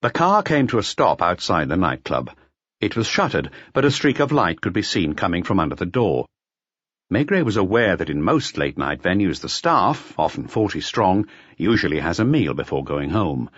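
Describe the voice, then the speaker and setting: A man narrates a detective audiobook in a fairly low voice with lively, varied intonation. The recording is dry and close, though a little muffled. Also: moderate pace, slightly rough, no room sound. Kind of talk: scripted narration of a detective novel.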